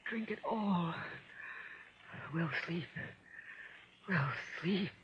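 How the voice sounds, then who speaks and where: An actress playing a guilt-ridden, murderous stepmother trapped in a nightmare, melodramatic and halting, in old-time radio horror style. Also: fairly low pitch. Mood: drowsy, hushed. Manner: melodramatic, halting fragments, from whispers to shrieks. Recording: dull, lo-fi old radio recording with noise.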